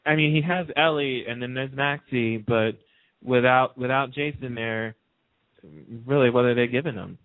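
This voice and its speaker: A man chatting casually as a radio guest in a hesitant, measured way. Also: medium pitch, steady tone, dry recording. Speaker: radio personality as interview guest. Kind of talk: casual chat about television. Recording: dry, thin phone line.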